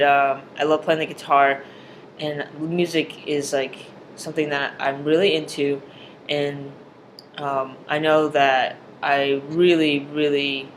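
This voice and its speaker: This is a young man whose speaking voice sits somewhat high for a man. He talks earnestly, often pausing on fillers and restarting his sentences. A faint hiss of noise runs underneath his voice. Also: fairly bright tone. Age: young adult. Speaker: vlogger. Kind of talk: personal video diary.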